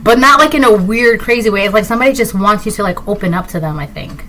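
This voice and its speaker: Woman, Spanish native speaker from Puerto Rico, casual rambling tarot reader; bright tone, light hiss on the track. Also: mid-range voice, fast, poor audio. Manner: rambling, many fillers and restarts. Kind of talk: casual intuitive reading to camera.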